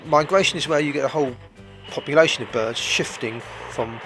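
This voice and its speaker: A man's voice: a little high, brisk, over music, rough recording. A man in his sixties talking matter-of-factly about travelling. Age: 60s. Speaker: interviewee.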